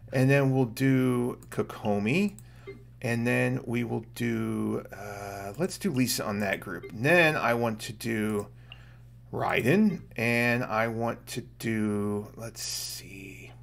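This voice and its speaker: A middle-aged man giving casual gameplay commentary, thinking aloud in a gravelly voice. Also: medium pitch. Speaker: game streamer. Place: small indoor room at home. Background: faint game music.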